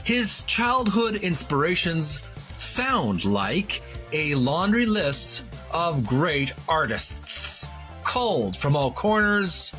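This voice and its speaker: A man hosting a video with a bright, forceful voice that rises and falls a lot. He speaks in deliberate, emphatic chunks, breaking up his phrases as he presents and reads aloud. Music plays faintly behind him. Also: a little high, sing-song. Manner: deliberate, emphatic, broken phrasing when reading. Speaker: host of a video series on retro game art. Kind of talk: casual presenting and slow, halting read-aloud from a book.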